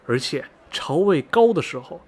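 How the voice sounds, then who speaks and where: An actor playing a chatty railway ticket agent who gives folksy, emphatic warnings in a radio drama. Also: male voice, fairly high pitch, very animated, moderate pace, a little mellow. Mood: animated warning.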